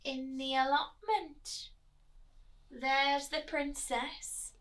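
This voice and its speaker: A woman reading a children's storybook aloud in an expressive, dramatic way, as if to young listeners. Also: sits high, drawn-out words, low-quality recording. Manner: dramatic, asks listeners questions, drops to whispers. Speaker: storytime reader, teacher.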